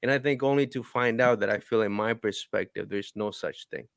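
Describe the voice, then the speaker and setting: A man talking in a casual podcast conversation, reflective and halting, often restarting his sentences. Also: fairly low pitch, gravelly, dry recording, clean studio sound.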